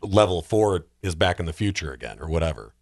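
A man talking casually as a podcast co-host, thinking out loud about films and games. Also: sits low, expressive, brisk, gravelly, no room sound, clean studio sound.

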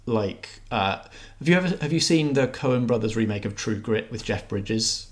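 A man with a fairly low-pitched voice talks through a film review as a podcast co-host. His delivery is conversational and a bit hesitant, often reworking a phrase as he goes. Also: animated, moderate pace. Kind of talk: casual review chat.

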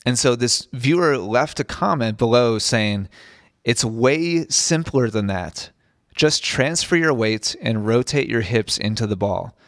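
A man's voice: mid-range voice, animated, measured pace, dry recording, clean studio sound. A man hosting a tennis podcast, talking earnestly and repeating himself as he restarts phrases, his voice a bit strained after recovering from an illness. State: recovering from voice loss, slightly strained. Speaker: podcast host and tennis coach. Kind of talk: solo podcast talk. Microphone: studio podcast microphone.

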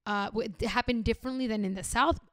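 A woman's voice: on the high side, fast talker, no echo, clean studio sound. A woman from Los Angeles chatting casually, acting out remembered reactions with expression. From Los Angeles, USA. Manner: expressive, acts out quoted reactions. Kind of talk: casual conversational chat.

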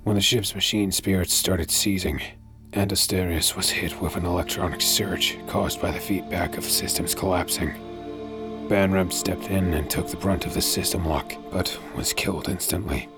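Pirate war-profiteer, low voice with some gravel, dramatic first-person narration over clear music. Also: male voice, steady tone, moderate pace. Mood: grave. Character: urgent male pirate war profiteer narrating his own tale. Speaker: narrator and lead character. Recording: music behind.

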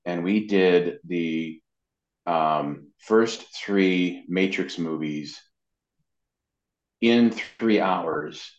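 Deep voiced guy chatting as a guest, kinda rambly, echoey muffled sound. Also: very slow. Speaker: interview guest, voice actor and web designer. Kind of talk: casual interview talk. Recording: dull, roomy, lo-fi sound.

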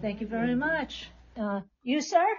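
Female podcast host and teacher, reassuring, higher-pitched, captured in muffled lo-fi sound. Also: expressive, unhurried, a crowd in the background. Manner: encouraging, reassuring prompts. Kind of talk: live podcast hosting, addressing a class. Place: classroom with about twenty people.

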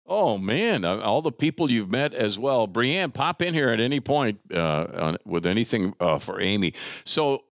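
A middle-aged man hosting a casual chat, expressive and chatty, dropping into mock announcements and asides. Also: medium pitch, normal speed, few pauses, no room sound, studio quality. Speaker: host. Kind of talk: casual chat, conversational interviewing.

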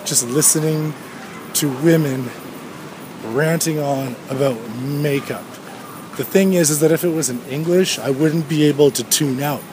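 A man's voice: a little high, a little slow. A man in his thirties hosting a solo podcast, ranting in a sardonic, profane way while walking outdoors. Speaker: podcast host. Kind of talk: solo rambling podcast rant. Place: outdoors on a street. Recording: street noise with passing traffic.

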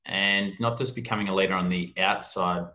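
A man's voice: low voice, fairly even intonation, moderate pace, pushed and bright. A man with an Australian accent talking casually and informally as a podcast host and coach.

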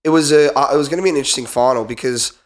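A young Australian man with a hoarse, flu-roughened voice, riffing as a guest co-host. He jokes, swears freely and trails off into restarts, talking in an ordinary mid-range pitch. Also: rapid-fire. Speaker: guest co-host, former 800m runner. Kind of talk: casual podcast banter.

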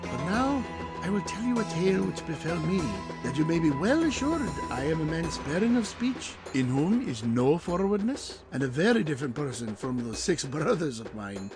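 Man playing a pompous, self-righteous busybody barber, fairly high-voiced. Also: very animated, normal speed, over music. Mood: smug, self-justifying. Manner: declamatory, archaic, self-righteous. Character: pompous, self-satisfied busybody male barber, meddling and long-winded. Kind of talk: audio drama acting.

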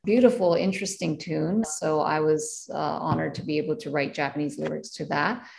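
A woman, a jazz singer, talking thoughtfully in a casual interview, pausing often to find her words. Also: low-pitched, fairly even intonation, vocal fry, darkish tone, a little hiss or hum, poor audio. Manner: thoughtful, many fillers and restarts. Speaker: jazz vocalist guest.

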